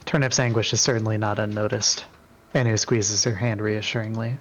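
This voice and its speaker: A man's voice: lowish voice, flat delivery, brisk. A man reading scripted story narration in a dramatic reading. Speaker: reader in a group dramatic reading.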